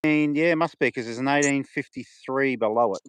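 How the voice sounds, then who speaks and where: A man's voice: mid-range voice, brisk, clean tone, no echo. A man from South Australia with a South Australian accent, making laid-back remarks on a livestream while outdoors in a cemetery. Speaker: livestream co-host. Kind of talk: casual livestream chat.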